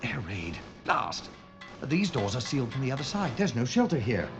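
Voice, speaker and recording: Man playing droll British police inspector, lively intonation. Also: mid-range voice, loud music behind the voice. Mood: firm, official. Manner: formal, droll, measured. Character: dry, composed male police inspector in wartime London. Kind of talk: period audio drama acting. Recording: muffled, with noticeable background ambience.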